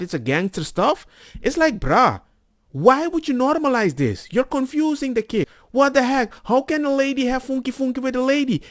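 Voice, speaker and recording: Animated high-pitched man reacting. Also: dry recording, studio quality. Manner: animated, exasperated, mimics opponents mockingly. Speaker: reaction video host. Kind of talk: casual off-the-cuff reaction commentary.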